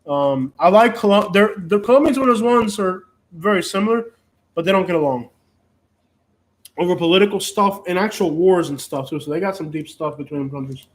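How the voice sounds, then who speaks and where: High-voiced Cuban-born man chatting. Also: poor audio. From Cuba. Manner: rambling, frequent restarts and fillers. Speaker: host. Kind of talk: casual live chat.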